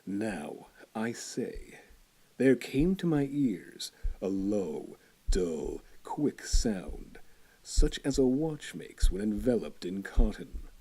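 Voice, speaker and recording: Deep husky male horror storyteller. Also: low-quality recording. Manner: theatrical, shifting between hushed whispers and loud intensity. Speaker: narrator reading a classic horror story. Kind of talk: dramatic horror story reading.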